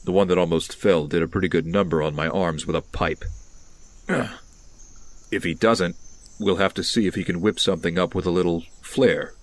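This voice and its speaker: An actor playing a brooding, stubborn vigilante in an audio drama, speaking in an understated, matter-of-fact way. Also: male voice, low voice, fairly even intonation, normal speed, close to an everyday voice.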